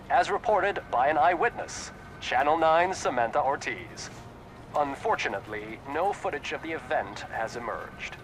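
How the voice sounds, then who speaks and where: A man playing a polished television news anchor in a video game, reading in a crisp broadcast manner. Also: a little high, noisy background. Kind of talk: scripted newsreading in a video game.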